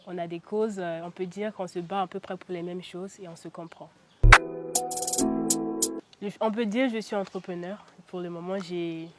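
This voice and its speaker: A woman's voice: fairly low pitch, heavy background noise. A young woman from the Central African Republic giving casual interview answers, chatty and often hesitating with "euh". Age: young adult. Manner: chatty, frequent hesitations with "euh", laughs now and then. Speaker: interviewee, beauty pageant titleholder.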